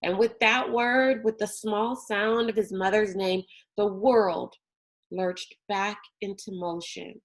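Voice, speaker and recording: A woman narrating a children's novel aloud in a deliberate, expressive storytelling manner. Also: mid-range voice.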